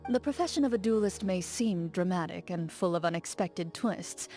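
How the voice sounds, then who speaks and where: Casting: actress as a guarded young duelist, dark mellow tone, slightly low, formal and restrained. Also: female voice, soft background music, close to an everyday voice. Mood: measured. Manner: calm, measured, formal and reserved. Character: stoic young woman duelist, composed and guarded. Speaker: game character. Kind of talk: game character voice lines.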